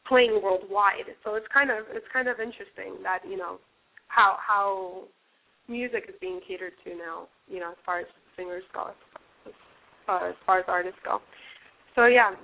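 A woman's voice: medium pitch, normal speed. A young woman from New Jersey, a guest in a casual interview, rambling with many restarts and fillers. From New Jersey, USA. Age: young adult. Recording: tinny phone-like radio line.

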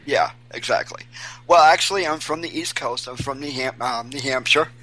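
A man from Maine, guest on an interview show, talking haltingly with many restarts and fillers. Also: medium pitch, bright, forceful tone, low-quality recording. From Maine, USA. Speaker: guest, volunteer searching for missing children. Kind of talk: casual interview.

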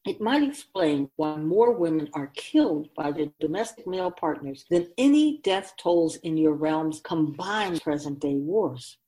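A woman as a low-voiced, expressive wise soul keeper. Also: measured pace, hardly any pauses, rough recording. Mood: emphatic. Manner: oracular, instructive, emphatic. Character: otherworldly female keeper of souls, wise and grave. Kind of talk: radio drama dialogue.

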